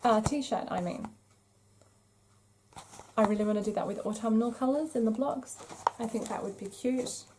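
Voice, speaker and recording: Mellow-voiced, enthusiastic woman craft podcaster. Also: fairly high pitch, normal speed, pauses often, slight background noise, rough recording. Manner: enthusiastic, gushing. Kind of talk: casual vlog chat, showing craft magazines.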